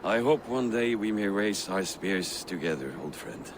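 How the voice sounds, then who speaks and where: An actor playing a proud, bitter banished Viking warrior in a video game, grave and measured. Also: male voice, fairly low pitch, animated, background noise. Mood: grave, resigned. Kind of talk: dramatic video game acting.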